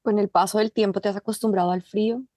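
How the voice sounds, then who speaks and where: A woman hosting an interview podcast, speaking in a gracious, measured way. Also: medium pitch, steady tone, mellow, no room sound, clean studio sound. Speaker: podcast host.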